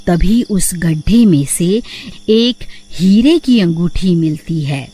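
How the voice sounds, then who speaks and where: A woman reading scripted narration for an animated moral story. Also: lowish voice, slow, slightly rough.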